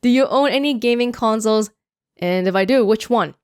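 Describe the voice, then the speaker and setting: A woman's voice: on the high side, brisk, darkish tone, smooth, no echo. A young woman from Canada answering viewer questions in a casual vlog, chatty and self-mocking, speaking close to the microphone. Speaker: YouTuber. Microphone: close studio mic.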